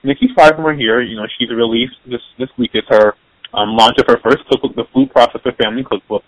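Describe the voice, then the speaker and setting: A man's voice: medium pitch, fairly even intonation, quick pace, no echo, lo-fi sound. A man hosting a podcast interview, rambling casually with lots of fillers and restarts. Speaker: podcast host.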